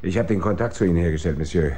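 Very deep-voiced young crook. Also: male voice, racing, mellow, husky. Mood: demanding, insolent. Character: cocky young male small-time crook. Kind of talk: radio crime drama acting.

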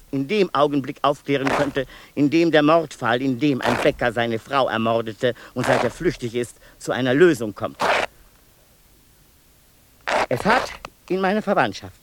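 An actor playing a male asylum inmate who narrates in a measured, circling, repetitive way in a radio play, with birdsong and noise behind him. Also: mid-range voice, natural voice. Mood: brooding. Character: male asylum inmate narrating his family's strange deaths. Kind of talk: 1990s radio play acting.

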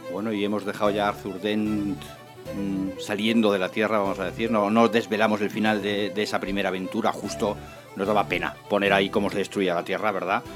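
Low-voiced Spanish man over music. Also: flat delivery, a little fast. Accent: Spain. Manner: jokey, colloquial. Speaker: radio show co-host and reader. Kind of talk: casual scripted-radio chat, then novel reading. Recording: music behind the voice.

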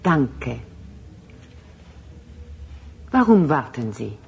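An actress playing a composed female transhuman leader who speaks deliberately and formally in a radio drama. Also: rumbling low, very animated, creaky, a little mellow, exaggerated voice. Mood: commanding. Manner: deliberate, formal, clipped non-colloquial phrasing.

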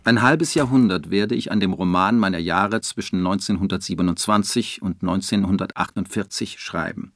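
A melancholy writer in a radio play, low in pitch and measured in delivery. He sounds brooding and self-doubting, over faint-to-clear background noise. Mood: resigned. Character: brooding, self-doubting male novelist looking back on his failures. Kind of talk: German radio drama monologue and dialogue.

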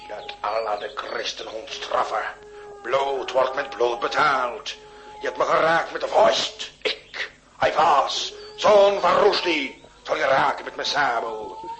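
A man's voice: mid-range voice, takes it slowly, fairly bright tone, soft background music. An actor playing a proud, vengeful Ottoman duellist, declaiming floridly in a comic radio play. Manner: theatrical, declamatory.

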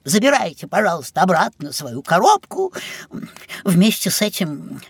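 An ancient, pompous pet creature in a children's audio play. The voice is husky and very animated, swinging up and down with fussy theatrical grandeur. It is recorded close and dry. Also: mid-range voice, moderate pace, no room sound. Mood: pompous, fretful. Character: grumpy two-hundred-year-old male talking pet, pompous, secretly playful.

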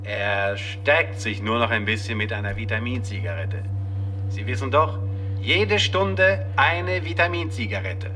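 An actor playing a slick, cheery television presenter of the future in a German radio play, chattily pitching products to viewers. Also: male voice, expressive, a little slow, bright, forceful tone, slight background noise. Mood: cosy salesmanship. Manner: gushing, chatty showman addressing viewers. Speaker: television show host. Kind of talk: 1960s-90s German radio play, mock broadcast patter. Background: music.

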